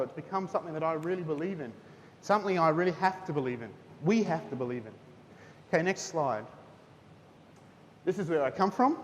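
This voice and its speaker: New man in his thirties, higher voice, chatty, keeps saying okay, long hall echo. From New Zealand. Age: 30s. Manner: chatty, asks "okay?" often. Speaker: guest speaker, teacher. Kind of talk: school chapel testimony. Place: school hall. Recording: hall reverb, faint rumble.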